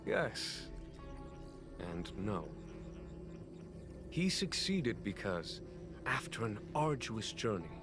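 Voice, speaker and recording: Deep-voiced, solemn male general. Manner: measured, formal, weighty storytelling. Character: grave, learned male general recounting ancient history. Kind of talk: solemn scripted game dialogue. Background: game music.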